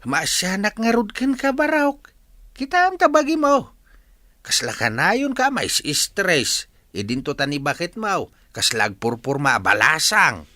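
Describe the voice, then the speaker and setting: A man's voice: high-pitched, very animated, unhurried, pushed and bright, no echo, exaggerated voice. A man acting a blunt, meddling older uncle in a radio drama, emphatic and exclamatory. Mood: concerned, warning. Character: blunt, meddling older uncle giving warnings to his nephew.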